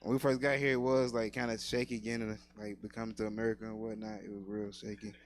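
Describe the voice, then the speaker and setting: A man's voice: fairly low pitch, flat delivery, fast talker. A man hosting a comedy show on stage, bantering in casual slangy talk.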